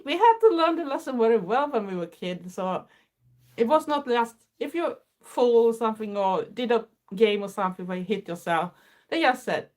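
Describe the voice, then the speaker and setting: A Swedish woman in her fifties with a Swedish accent, chatting earnestly to her viewers in English with small grammar slips. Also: on the high side. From Sweden. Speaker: livestream host. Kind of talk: casual chat.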